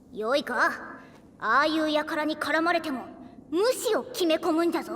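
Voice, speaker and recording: Feisty anime game girl, high and animated, haughty old-elder phrasing, bossy. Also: a little hiss or hum, heavily acted. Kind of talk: Japanese anime game voice acting. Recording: echoey, reverberant, music underneath.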